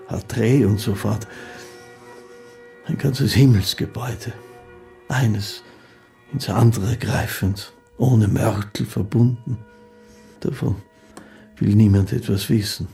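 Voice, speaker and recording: Shy rambling fiddler in a radio play, soft dark creaky voice, hesitant n earnest, kinda formal old-fashioned talk. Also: male voice, lowish voice. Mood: fervent, reverent, then wistful, rambling. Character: gentle, shy, rambling amateur fiddler in love with music. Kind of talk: first-person literary radio drama acting.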